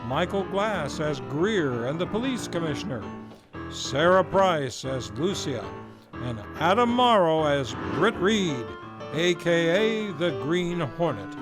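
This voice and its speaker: A man's voice: a little high, sing-song, slow. A man, the series host, reading the closing credits and announcements of a radio play in a polished, formal announcer manner. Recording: music playing underneath. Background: music.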